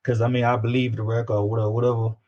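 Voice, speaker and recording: A man's voice: mid-range voice, flat delivery, racing, mellow. A man from Louisiana with a Southern US accent, chatting casually as an interview guest, slangy and repeating himself for emphasis. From Louisiana, United States. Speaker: guest, independent music artist. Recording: dull, lo-fi sound.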